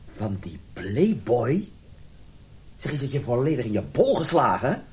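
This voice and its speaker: A man's voice: mid-range voice, sing-song, measured pace, darkish tone. A male actor playing a wounded, domineering middle-aged husband in a radio drama, with an agitated manner. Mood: angry, incredulous. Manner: agitated, stammering when upset.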